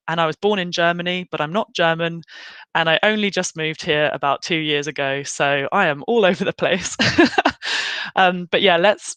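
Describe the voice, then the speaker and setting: A woman's voice: low voice, fairly bright tone, clear, resonant tone, no room sound. A woman from the UK hosting a video call, chatty and upbeat as she introduces the session. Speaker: graduate program host.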